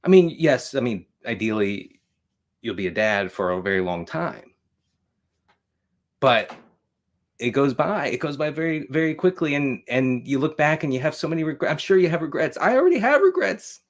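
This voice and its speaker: A man's voice: fairly high pitch, big pitch swings, normal speed. An American man aged forty hosting a casual livestream monologue, rambling and repeating himself with wry, self-deprecating asides. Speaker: host of a live show on fatherhood.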